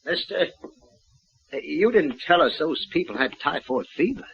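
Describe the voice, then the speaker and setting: A man's voice: on the high side, expressive, measured pace, gravelly, slight background noise. An actor playing an indignant, confrontational townsman in a 1950s radio western. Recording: dull vintage radio recording.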